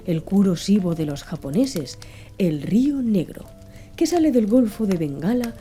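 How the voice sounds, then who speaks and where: A woman narrating an audiobook in a soft, measured ASMR style. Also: medium pitch. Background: faint music.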